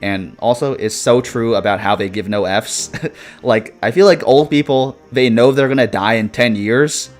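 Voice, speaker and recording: A man's voice: mid-range voice, normal speed, soft background music. A man in his twenties chatting casually on a live stream, reading viewer comments and rambling with lots of swearing, fillers and laughs. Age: 20s.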